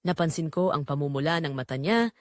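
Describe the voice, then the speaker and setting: Young Filipino female narrator for dramatic romance story; emotive, reflective, lively pitch movement, close dry recording. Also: brisk, no echo. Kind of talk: dramatic scripted first-person romance narration.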